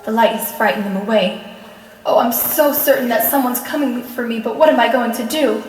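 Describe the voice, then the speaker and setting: Woman acting as terrified fragile wife, high voice, anxious, halting, radio thriller, distant in an echoey hall. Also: lightly acted. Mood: hushed dread. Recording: echoey hall, distant mic, background noise.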